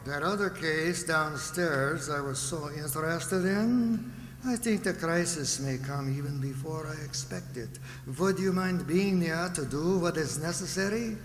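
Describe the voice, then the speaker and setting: Man acting a formal doctor, higher-pitched, echoey. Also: close to an everyday voice. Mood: composed. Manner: formal, measured, lecturing. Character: smooth, formal, condescending male psychiatrist. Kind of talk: old-time radio drama acting. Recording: echoey hall, background noise.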